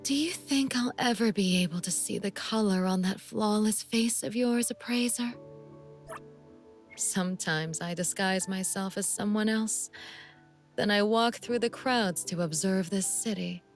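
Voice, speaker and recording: A woman playing a sly, menacing young female assassin in a video game, speaking in a teasing, deliberate manner. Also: medium pitch, close to an everyday voice. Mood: coy, probing. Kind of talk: scripted game character monologue.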